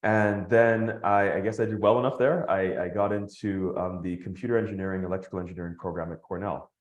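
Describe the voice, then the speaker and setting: A man from Nigeria and the Bahamas, a podcast guest telling his story in an animated way, laughing as he goes. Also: low-pitched, brisk, roomy sound, highs cut off. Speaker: guest, company founder. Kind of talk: podcast interview.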